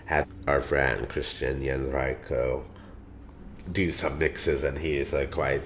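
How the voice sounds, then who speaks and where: A man who is a musician, telling stories about his band in a rambling, animated way with many fillers. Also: rumbling low, slow, slight background noise. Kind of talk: interview storytelling.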